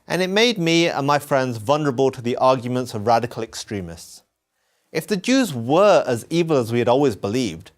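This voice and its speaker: Brief: young man, earnest scripted narration, emphatic and animated in pitch, mid-range voice. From England. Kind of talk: scripted first-person narration.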